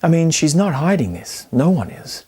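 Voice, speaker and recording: A man's voice: a little high, big pitch swings, moderate pace, warm tone, breathy edge. A man hosting a scripted film video essay, speaking in an emphatic, playfully argumentative and colloquial way. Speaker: film commentary host.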